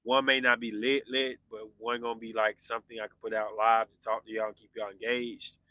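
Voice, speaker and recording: A man in his forties hosts a live podcast and talks casually with his viewers. His voice is very bright and cutting. The sound is close and dry. He answers their comments in a loose, conversational way. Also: fairly low pitch, level tone, fairly quick, no echo. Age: 40s. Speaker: podcast host.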